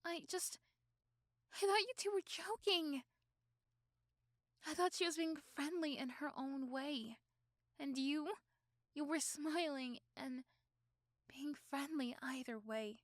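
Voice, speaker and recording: A high, closely recorded young female voice acted by a woman plays a possessive schoolgirl girlfriend in an ASMR roleplay. She speaks straight to her boyfriend, doting and protective with a menacing edge. Pauses and repeated words make her delivery dramatic and intense. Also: no echo, clean studio sound. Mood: guilty, hurt. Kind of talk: ASMR roleplay acting addressed to the listener.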